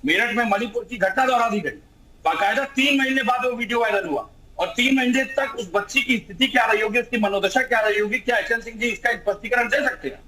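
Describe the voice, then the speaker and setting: A man's voice: extremely high pitch, quick pace, bright, forceful tone, low-quality recording. A man arguing as a political panelist in a TV debate, emphatic and fond of rhetorical questions, with some noise on the recording. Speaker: political panelist, party spokesperson. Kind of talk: heated political debate argument. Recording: clear background noise.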